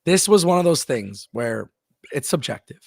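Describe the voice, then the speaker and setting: A man hosts a live wrestling talk show with a gravelly edge to his voice. He talks emphatically and stops now and then to read out viewer messages. The sound is dry and close to the mic. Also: medium pitch, sing-song, normal speed, a little mellow, no room sound. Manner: emphatic, repeats words, reads viewer messages aloud. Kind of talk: casual live-stream chat.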